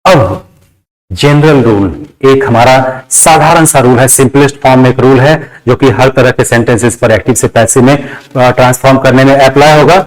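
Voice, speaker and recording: A man's voice: mid-range voice, normal speed, low-quality recording. A man with a Bihari Indian accent teaching a grammar lesson step by step, repeating phrases, dictating word by word and often checking in with short questions. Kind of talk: classroom lesson explained step by step.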